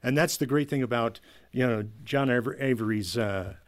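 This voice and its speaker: A man of medium pitch talks as a guest in a panel conversation. He explains informally, in everyday conversational speech. The sound is dry and free of room echo. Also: normal speed. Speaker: guest from an audio drama team. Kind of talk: casual panel discussion.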